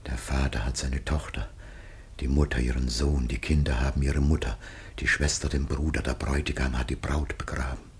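An older actor playing a dying old patriarch in a classical radio drama, solemn and anguished, with a breathy, husky declamation. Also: male voice, a bass voice, flat delivery, moderate pace, exaggerated voice. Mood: solemn lament.

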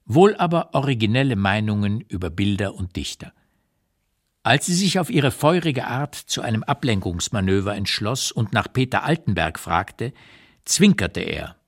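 Deep-voiced, animated old memoirist. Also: male voice, moderate pace, breathy edge, exaggerated voice. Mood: reflective, intent. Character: elderly émigré male writer looking back, candid, reflective. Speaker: actor reading a literary memoir as narrator. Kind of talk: literary radio play narration, reading memoir aloud.

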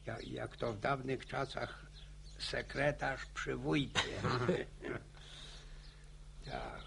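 A gabby old country grandad, super creaky, slow n halting, repeats himself, bit of background noise, radio drama. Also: male voice, medium pitch, fairly bright tone, exaggerated voice. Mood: rambling, musing. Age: older. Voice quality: creaky, gravelly. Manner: halting, stammering, repeats words. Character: talkative, boastful old village grandfather in rural Poland.

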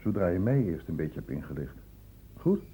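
A man playing a shrewd senior doctor in a Dutch radio play, brisk and probing, with a condescendingly paternal authority. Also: low voice, sing-song, mellow. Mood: probing, fatherly. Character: shrewd senior male doctor questioning a student, brisk, fatherly. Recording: thin phone-like old radio recording, hissy.

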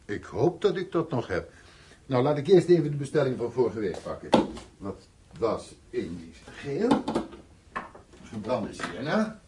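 An actor playing a polite, businesslike shopkeeper serving customers in a radio drama. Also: male voice, mid-range voice, sing-song, heavy background noise. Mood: obliging. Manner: polite, brisk service talk. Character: obliging, businesslike male art-supply shopkeeper.

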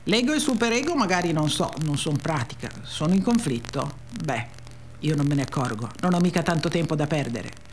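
A woman's voice: low-pitched, expressive, a little fast, a little hiss or hum. A woman playing a vain, brash young starlet in a radio reading, provocative, bossy and dismissive in manner. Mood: impatient, dismissive.

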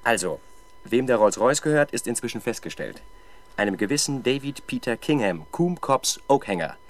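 A man's voice: medium pitch, sing-song, moderate pace, natural voice. An actor playing a diligent, matter-of-fact police sergeant reporting to his superior in a radio crime drama. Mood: businesslike. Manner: businesslike, reporting, persistent. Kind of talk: 1970s German radio crime drama acting.

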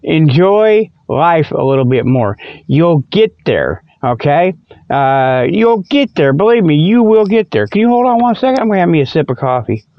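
Older male, high voice, folksy, rambling comic radio host, digressive, dry muffled lo-fi recording. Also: sing-song, normal speed, no echo. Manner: folksy, drawling colloquial phrasing, repetitive and digressive. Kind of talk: rambling comic monologue, casual chat.

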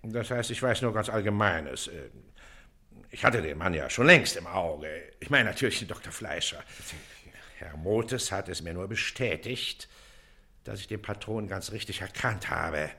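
A pompous Prussian baron in office, with a sharp, forceful voice that swings widely. He is condescending and suspicious, questioning people in clipped bursts, in radio play style. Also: low-pitched, animated, normal speed, heavily acted. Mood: self-important, suspicious. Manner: condescending, clipped, interrogating, haughty. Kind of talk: German radio play acting.

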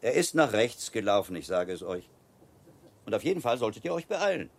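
A self-assured, learned middle-aged friar in a radio play. He speaks in a fairly low voice with lively swings of melody, precise and wryly instructive, over faint open-air ambience. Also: brisk, creaky, background noise. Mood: amused, confident. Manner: didactic, wry, precise.

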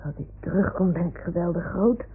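Radio play role: imaginative little boy, earnest and very husky, on a thin, phone-like old recording. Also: fairly low pitch, fairly quick. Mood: earnest, playful. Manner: childlike, earnest storytelling. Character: imaginative little boy talking with his toy animals.

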